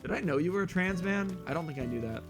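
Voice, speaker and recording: A young man with a loud, slightly hoarse voice, rambling casually to his viewers as a live streamer while he plays a game. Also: high voice, animated, fast. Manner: loud, rambling, talks straight to chat. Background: faint guitar music.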